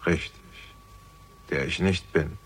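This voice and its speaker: An actor playing an unflappable older father in a German radio crime drama, with a gravelly voice and a dry, measured, ironic manner. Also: sits low, steady tone, full character voice. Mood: calm, sardonic.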